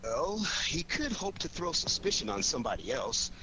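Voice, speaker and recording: Wry 1940s radio detective, noisy recording. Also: male voice, fairly high pitch, very animated, pushed and bright. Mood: pensive, wry. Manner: wry, quick-witted, commanding.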